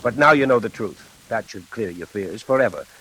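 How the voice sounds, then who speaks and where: An actor playing a patient, reassuring and authoritative prison warden in an old-time radio drama, with a measured, avuncular manner. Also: male voice, fairly low pitch, lively intonation, bright, forceful tone, slightly rough, exaggerated voice, low-quality recording. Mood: reassuring, brisk.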